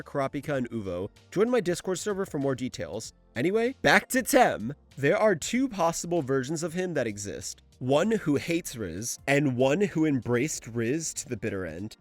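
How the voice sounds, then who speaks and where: A man's voice: fairly high pitch, dry recording. A young man giving casual, conversational scripted narration as a video essayist. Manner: informal, conversational.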